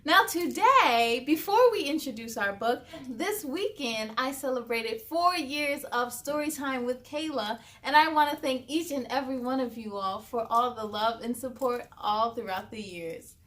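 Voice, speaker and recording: A woman hosting a children's read-aloud, speaking in an expressive, deliberate, sing-song way to young listeners. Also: high voice. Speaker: host of a read-aloud story series. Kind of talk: expressive children's storybook reading.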